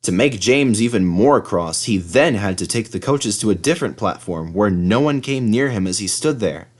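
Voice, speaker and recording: A man's voice: on the low side, animated, normal speed, a little mellow. A man reading a scripted children's story aloud as narrator. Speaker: storybook reader.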